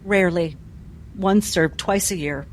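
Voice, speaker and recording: A woman's voice: fairly low pitch, a little slow, a little hiss or hum, close to an everyday voice. A woman playing a guarded doctor in a 1960s-set drama, stammering and defensive under questioning. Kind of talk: 1960s cinematic drama acting.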